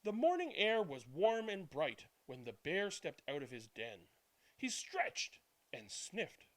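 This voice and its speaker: A man reading a children's picture book aloud as a storyteller, dramatically, with drawn-out words and hushed passages. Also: high voice, sing-song, poor audio. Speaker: read-aloud storyteller and channel host. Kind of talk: expressive children's picture book read-aloud, then casual chat.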